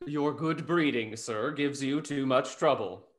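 An actor playing a witty, snarky young London gentleman in a stage comedy, wry and ornate, over a video call. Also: medium pitch, measured pace, natural voice. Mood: arch, teasing. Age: young adult.

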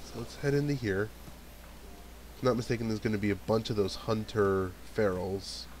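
A man's voice: on the low side, normal speed, a little mellow, a little hiss or hum. A man with a stuffy nose giving casual commentary while playing a video game, thinking aloud about what to do next. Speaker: let's play gamer. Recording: game music playing under voice. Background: game background music.

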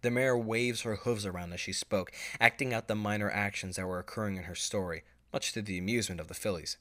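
A man's voice: on the low side, quick pace, pushed and bright. A man reading scripted story narration as the narrator.

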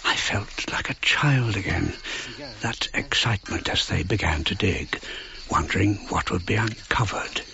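An old archaeologist, very slow reminiscence. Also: male voice, on the low side, expressive, creaky, pushed and bright, dull audio, exaggerated voice. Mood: wistful, wondering. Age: older. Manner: reflective, halting, breaking into short phrases. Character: elderly British archaeologist looking back on his life. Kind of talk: radio drama memoir narration.

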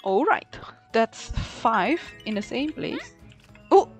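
A woman's voice: on the high side, sing-song, takes it slowly, faint music behind. A woman doing casual gameplay commentary, exclaiming and talking to herself as she plays. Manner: exclamatory, reactive. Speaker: gaming streamer.

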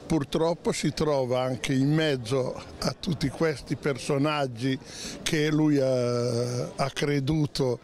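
An older man answering a street interview at night, halting and stumbling over his words, into a handheld mic. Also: medium pitch, a little mellow, slight background noise. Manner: halting, many fillers and restarts. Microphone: handheld mic held by interviewer.

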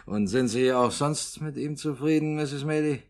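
An actor as a courteous, cautious doctor in an old radio play. He speaks in a measured way at a middle pitch. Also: lightly acted. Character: Dr. Losbon, sceptical, courteous family doctor. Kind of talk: 1950s German radio drama acting.

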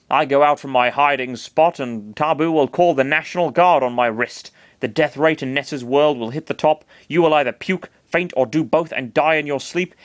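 A man's voice: fairly high pitch, no room sound, close to an everyday voice. Man voicing a sneering godlike hand entity, sarcastic, dry close sound. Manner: sarcastic, grumbling, insulting. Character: smug, condescending giant cosmic hand, male.

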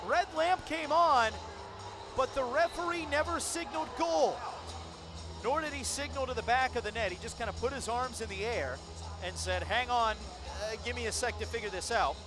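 A man with a high, bright voice calls a hockey game play by play from the booth. He moves quickly through each pass and lifts into shouted goal calls, with loud music running underneath. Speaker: play-by-play announcer.

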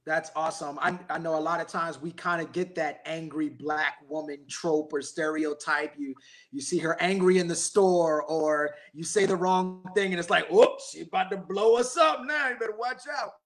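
A man moderating a panel on a video call, engaged and quick with short affirmations. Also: fairly high pitch, fairly even intonation, faint music behind. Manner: engaged, frequent brief affirmations.

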